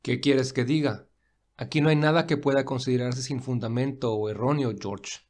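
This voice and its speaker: Man acting reserved young millionaire, mid-pitched, earnest, formal, measured, audio story dialogue. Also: steady tone, darkish tone, natural voice. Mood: resigned. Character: William, reserved young millionaire, weary and principled.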